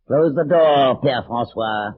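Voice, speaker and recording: A man's voice: medium pitch, takes it slowly, natural voice. An actor playing a haughty, menacing old French nobleman in a theatrical, commanding radio drama style. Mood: imperious. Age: older. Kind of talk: 1940s-50s radio drama acting. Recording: muffled, noisy old radio recording.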